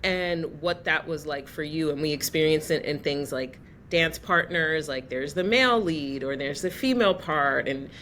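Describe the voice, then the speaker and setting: A woman hosting a casual interview, pausing often with "um" as she gathers her thoughts. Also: low-pitched, slight background noise. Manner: warm-hearted, searching for words with many "um"s. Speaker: podcast host.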